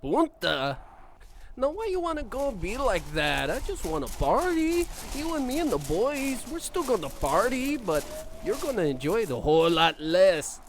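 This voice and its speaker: A man's voice: sits high, very animated, normal speed, a little hiss or hum. A man playing a swaggering, leering street thug in an audio drama, drawling, mocking and wheedling. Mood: menacing.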